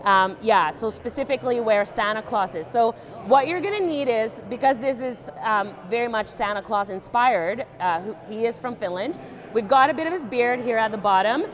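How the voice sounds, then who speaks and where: A young woman tying a fishing fly in a joking, deadpan demonstration, with many ums and uhs. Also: a little high, normal speed. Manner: deadpan, playful, many fillers. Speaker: guest fly tier.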